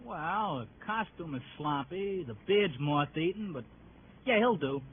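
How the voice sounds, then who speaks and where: A man's voice: a little high, expressive, a little slow, a little hiss or hum. An actor playing a cocky young man who runs a youth club party in an old radio drama, brash and wisecracking. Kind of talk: 1940s radio acting. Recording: tinny old radio broadcast.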